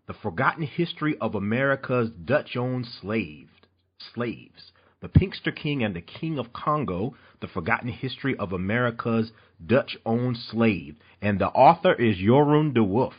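A man's voice: mid-range voice, breathy edge, lo-fi sound. A man from North Carolina hosting an interview podcast, eager and chatty, often restarting his sentences. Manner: enthusiastic, with frequent restarts and fillers. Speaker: podcast host. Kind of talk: interview podcast, introducing a guest.

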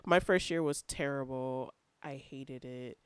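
A woman's voice: extremely low pitch, measured pace, clean tone, no echo. A young woman from California, telling a story on a podcast in a laid-back, casual way, laughing between phrases. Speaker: podcast co-host. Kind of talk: casual chat.

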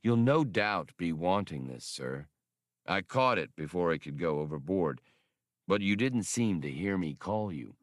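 A man's voice: low voice, animated, a little slow, dry recording, clean studio sound, pitched below the performer's own voice. A man voicing a polite, dutiful robot servant in a formal, deferential manner. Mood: deferential, measured.

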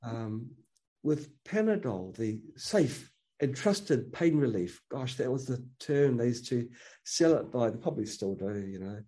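A man's voice: mid-range voice, animated, a little slow, warm tone. A man speaking as an interview guest in a casual conversation, earnest and opinionated, often restarting his sentences.

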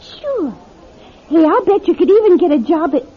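An actress playing a friendly, streetwise young go-go dancer in a classic radio drama, with breezy, slangy talk and drawn-out words. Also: female voice, very high voice, animated, slight background noise. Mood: bubbly, friendly. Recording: muffled old radio drama recording.

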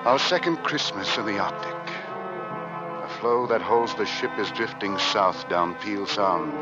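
An actor playing a weary 1850s ship's officer, narrating a doomed Arctic expedition with grave drama in an old-time radio play. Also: male voice, lowish voice, measured pace, close to an everyday voice. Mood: somber, weary. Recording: muffled vintage radio recording, loud music.

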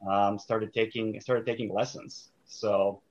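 A man's voice: on the low side, fairly even intonation, unhurried, muffled recording. A young man from Serbia, a guest in an interview, answering thoughtfully with many fillers and restarts.

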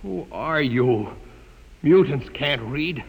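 An actor playing a proud but frightened young male scientist in a 1950s radio drama, earnest and quick to indignation. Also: mid-range voice, expressive, creaky, husky, phone-quality sound, heavily acted. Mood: wary, haughty. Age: young adult. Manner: earnest, indignant, often alarmed.

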